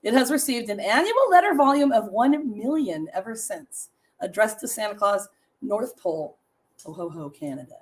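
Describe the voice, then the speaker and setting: A middle-aged woman with a raspy voice hosting a casual live stream, chatting with viewers and reading trivia and short stories aloud. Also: mid-range voice, expressive, normal speed. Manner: chatty, laughs often, reads facts and stories to viewers.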